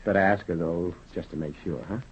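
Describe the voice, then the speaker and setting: An actor playing a genial middle-aged small-town doctor and father in a 1930s radio drama, kindly and measured. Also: low-pitched, steady tone, slightly rough, heavily acted. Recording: muffled, hissy 1930s radio recording.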